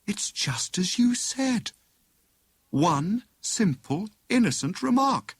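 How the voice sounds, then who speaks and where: A man voicing a clever, excitable married woman who plays amateur detective, with a brisk, knowing manner. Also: sits high, breathy edge, dry recording, pitched above the performer's own voice, exaggerated voice. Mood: excited, intent.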